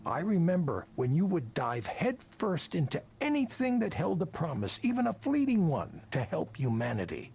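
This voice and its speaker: An actor playing a persuasive, defensive tech project leader in a visual novel, speaking emphatically and theatrically in self-justification. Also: male voice, fairly high pitch, huge pitch swings, normal speed, creaky, few pauses, darkish tone, breathy edge, exaggerated voice. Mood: earnest, probing.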